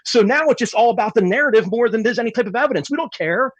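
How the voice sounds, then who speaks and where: A man's voice: extremely high pitch, lively intonation, fast, slightly rough, no echo, muffled recording. A man, a military veteran on a panel, speaking emphatically in a ranting way. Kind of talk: panel discussion.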